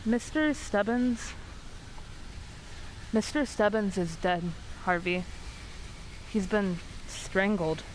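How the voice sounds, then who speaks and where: A woman's voice: mid-range voice, slow, pauses often, natural voice, rough recording. A woman playing an earnest, plain-spoken village sheriff in an old-time radio drama, with a grave, matter-of-fact manner. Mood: urgent. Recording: faint background noise.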